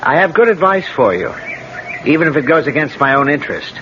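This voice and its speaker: An actor playing a disapproving gentleman rival with a dry, formal, measured manner in a radio drama. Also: a little high, big pitch swings. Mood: earnest warning. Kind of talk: 1970s radio drama acting. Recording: dull, noisy old radio broadcast.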